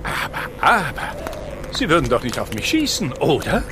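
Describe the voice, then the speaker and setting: A man's voice: on the high side, huge pitch swings. An actor playing a sly, taunting master thief in a children's audio drama, teasing and drawing out his words. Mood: mocking. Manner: teasing, drawn-out. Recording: noisy outdoor sound effects behind.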